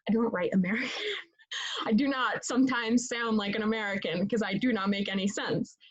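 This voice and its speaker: A woman's voice: fairly high pitch, expressive, normal speed, slightly rough, lo-fi sound. A young woman whose first language is Italian, answering interview questions in a casual, rambling way with many fillers and restarts. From Italy. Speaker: interviewee, student leader.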